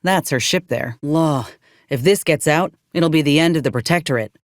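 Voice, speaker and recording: A woman's voice: sits low, normal speed, no room sound, close to an everyday voice. An actress voicing a plain-spoken, loyal young woman fighter in a video game, with a folksy, wry manner. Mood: lively, eager. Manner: folksy, dry-witted. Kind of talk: video game companion voice lines.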